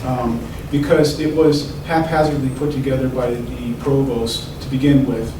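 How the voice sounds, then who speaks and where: Man, panelist, mid pitch, explanatory, reverberant room, distant mic. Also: flat delivery, normal speed. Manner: explanatory, with restarts. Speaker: panelist presenting his department's project. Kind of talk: panel discussion. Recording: echoey room from far off, noisy.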